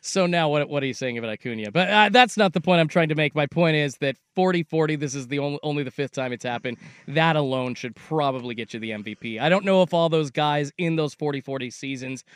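A man's voice: fairly high pitch, quick pace, few pauses, clear, resonant tone, dry recording. A man co-hosting a casual sports talk show, building his argument point by point.

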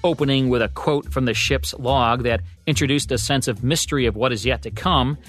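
Man, American accent, radio co-host, mid-pitched voice, scripted historical narration, light music behind. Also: brisk, fairly bright tone. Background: background music.

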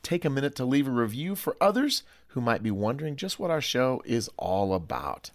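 A man's voice: medium pitch, big pitch swings, fairly quick. A man hosting a studio discussion podcast in a playful, effusive and emphatic way. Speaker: podcast host.

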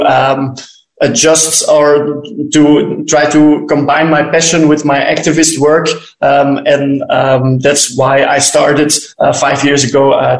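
A man in his late twenties from Belgium with a Belgian accent, answering questions as an interview guest. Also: fairly high pitch. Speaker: podcast guest, dancer and teacher. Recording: echoey room.

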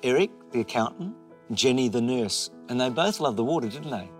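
A man hosting a broadcast interview, asking measured, prompting questions. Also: mid-range voice, animated, faint music behind. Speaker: interviewer, host.

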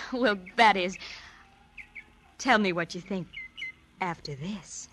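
Slow-spoken, smitten young heroine, played by a woman. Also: medium pitch, natural voice. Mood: shy, smitten. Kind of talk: 1950s radio drama acting. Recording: old radio recording, faint noise.

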